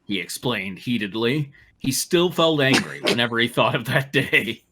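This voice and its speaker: Male narrator, mid-pitched and very varied in intonation, reading fan fiction with casual asides. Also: lively intonation, moderate pace, noisy background. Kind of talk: fan fiction read-aloud with casual riffing.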